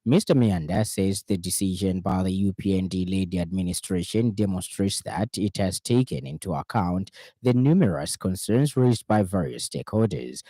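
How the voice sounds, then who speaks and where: A man with a Zambian accent reading a radio news bulletin in a formal, measured way from a studio. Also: low voice, warm tone, dry recording.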